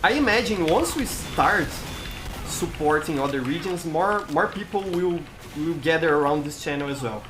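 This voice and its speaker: A man's voice: fairly high pitch, lively intonation, normal speed, noisy background. A man with a Brazilian accent, a native Portuguese speaker, chatting casually as a game streamer and often repeating words as he searches for them. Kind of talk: casual gameplay commentary, answering chat.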